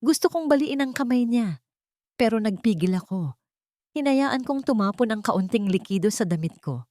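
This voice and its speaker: A woman's voice: a little high, animated, moderate pace, warm tone, dry recording, clean studio sound. A woman narrating a scripted first-person dramatic story as an audiobook reader.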